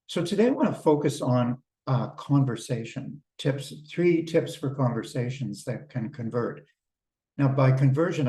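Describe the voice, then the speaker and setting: A man coaching small business owners on a video call, talking in a relaxed, conversational way and often repeating words as he starts a thought. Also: medium pitch. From Canada. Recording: roomy sound from a distance.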